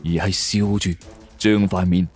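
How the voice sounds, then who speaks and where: A man's voice: deep voice, little pitch movement, measured pace, darkish tone, soft background music. A man narrates a suspense story in the first person, dramatically.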